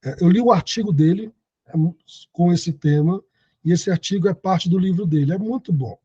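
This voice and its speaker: A man's voice: high-pitched, normal speed, dark tone, husky, dry recording, highs cut off. A man in his thirties teaching an online Bible study lesson, reading scripture aloud and explaining it. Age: 30s. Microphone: video call.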